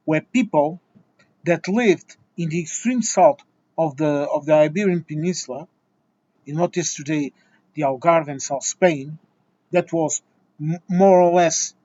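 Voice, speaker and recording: Portuguese man, fairly high voice, lecturing and exasperated, dull lo-fi recording. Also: darkish tone. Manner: exasperated, lecturing, many restarts and repeated words. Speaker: video essayist. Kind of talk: impassioned opinion monologue.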